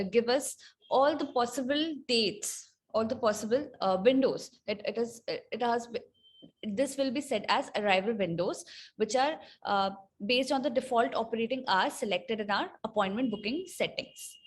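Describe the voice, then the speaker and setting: A woman presenting an unscripted software tutorial, explaining step by step in a somewhat halting, hesitant way. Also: on the high side. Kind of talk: unscripted screen-share walkthrough.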